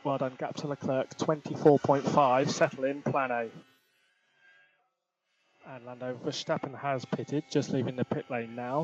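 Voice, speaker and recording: A man working as a race engineer, giving terse, matter-of-fact instructions to a driver over team radio. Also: medium pitch. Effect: team radio transmission. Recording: muffled team radio channel.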